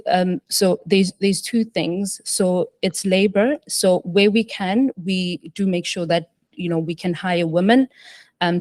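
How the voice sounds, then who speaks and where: Panelist, female, low flat delivery, halting with lots of fillers. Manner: hesitant, many fillers and restarts. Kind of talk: panel discussion answer.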